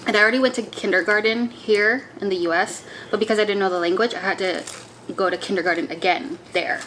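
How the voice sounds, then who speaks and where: A young woman who grew up in Indonesia, chatting casually over a meal, lively and quick to laugh. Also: medium pitch, slight background noise, low-quality recording. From grew up in Indonesia, born in the US. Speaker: co-host. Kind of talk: casual chat while eating.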